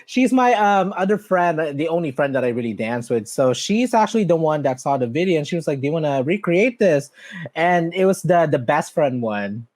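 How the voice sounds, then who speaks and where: A man's voice: big pitch swings, brisk, darkish tone, no echo. A man hosting a podcast interview in a bubbly, chatty way, laughing often and correcting himself as he goes. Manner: bubbly, laughs often, swings up high in pitch. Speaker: podcast host. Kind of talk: casual interview chat.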